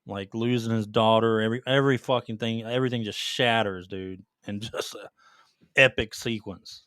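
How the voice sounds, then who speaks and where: A man's voice: lowish voice, fairly even intonation, normal speed, fairly bright tone, clear, resonant tone, no room sound, clean studio sound. A man co-hosting a casual gaming podcast, talking in a slangy, profane, enthusiastic way. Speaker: podcast co-host. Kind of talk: casual chat about video games.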